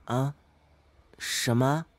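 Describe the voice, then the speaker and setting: A young man acting an earnest, polite, slightly naive studious teenage boy in an audio drama, gentle and encouraging. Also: mid-range voice, steady tone, very slow, dry recording. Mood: polite, puzzled. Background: background music.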